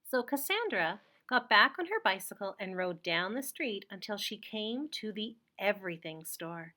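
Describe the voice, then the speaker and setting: A woman's voice: mid-range voice, big pitch swings, normal speed, pushed and bright. A woman who works as a teacher reads a children's picture book aloud. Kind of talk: children's storybook read-aloud.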